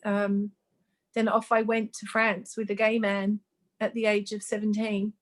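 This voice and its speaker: A woman's voice: mid-range voice, completely flat delivery, unhurried. A woman in her fifties, born in Sweden and raised in Australia. She talks as a guest in a casual interview, chatty and earnest, with lots of ums. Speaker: guest, makeup artist.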